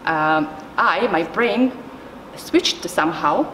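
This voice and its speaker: A woman tells a casual anecdote. Her voice is fairly low and rings in a reverberant space with slight noise. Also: animated, slow pace, fairly bright tone. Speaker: youth network member. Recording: very echoey hall, faint noise.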